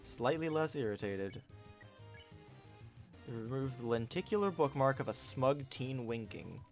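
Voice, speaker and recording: Guy streamer reading game text out loud and riffing, normal pitch, bit of music behind. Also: expressive, a little slow. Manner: reads game text aloud and riffs jokingly. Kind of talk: casual livestream chat while playing a game.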